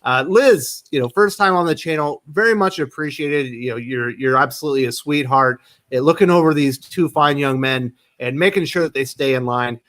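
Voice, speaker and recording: A man's voice: fairly high pitch, normal speed, few pauses, a bit bright. A man hosting a casual livestream chat. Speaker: livestream host.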